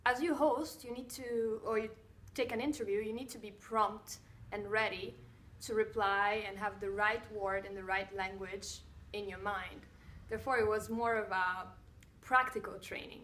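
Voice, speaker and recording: Young woman, mid-pitched, composed, measured delivery, seated in a room, camera a few feet off. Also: fairly even intonation, fairly bright tone. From Italian-speaking Switzerland. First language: Italian and Russian. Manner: composed, measured, slight non-native phrasing with filler pauses. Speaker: blogger and TV presenter. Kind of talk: direct-to-camera Q&A. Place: bright indoor room with wooden floor, seated in chair. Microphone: camera a few feet away.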